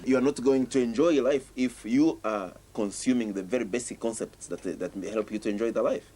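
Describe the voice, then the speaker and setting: Husky-voiced man explaining earnestly. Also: medium pitch, brisk, dark tone. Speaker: theatre troupe member, guest. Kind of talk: radio interview.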